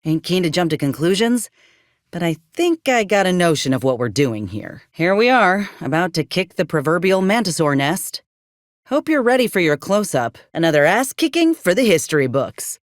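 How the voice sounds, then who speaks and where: Low, lively, folksy frontier ex-soldier. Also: female voice, moderate pace, dry recording, studio quality. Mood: determined, wry. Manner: folksy frontier drawl phrasing, plainspoken. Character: plucky, principled female ex-soldier freelancer in a space-frontier world. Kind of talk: video game character acting.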